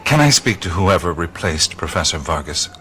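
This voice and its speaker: An actor playing a wry, easily rattled American adventurer in a radio play, halting and hesitant in his speech. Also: deep voice, sing-song, pushed and bright, slight background noise, exaggerated voice. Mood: hesitant, polite.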